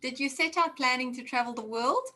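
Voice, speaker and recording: A woman co-interviewer asking the family a question, in a quick, apologetic way. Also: high-pitched, sharp tone.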